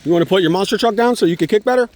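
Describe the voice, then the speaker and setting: A man's voice: high voice, rapid-fire, darkish tone. A man talking casually and playfully to his young child outdoors, in simple, encouraging phrases with frequent check-ins. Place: outdoors at a park in the rain. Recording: noisy outdoor home-video audio.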